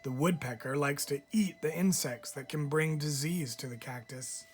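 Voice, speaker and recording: A man reads a children's picture book aloud in a measured, expressive way, pausing deliberately like a teacher telling a story to a class. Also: on the high side, soft background music.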